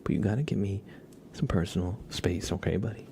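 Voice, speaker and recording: A soft-spoken man hosting a live ASMR stream, chatting casually with viewers and often dropping into whispers, close to the microphone. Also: low voice, fairly quick, vocal fry, a little hiss or hum. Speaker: ASMR livestreamer, host.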